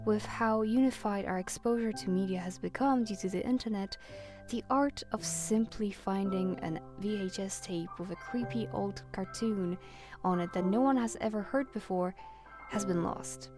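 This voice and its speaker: A woman narrating a scripted video essay in an earnest, reflective way with measured phrasing. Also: medium pitch, over music.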